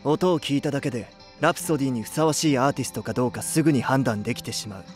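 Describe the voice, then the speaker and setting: An actor playing a calm, serious, dependable young male band vocalist in an anime voice drama, composed and matter-of-fact. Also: mid-range voice, very fast, soft background music, lightly acted. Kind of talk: Japanese anime voice drama acting.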